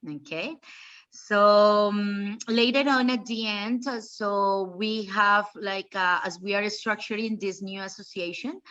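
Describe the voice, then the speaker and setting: A woman's voice: medium pitch, sharp tone, highs cut off. A woman with a Colombian accent, a native Spanish speaker, hosting an informal online presentation in a hesitant, loosely phrased way. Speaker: association founder, event host.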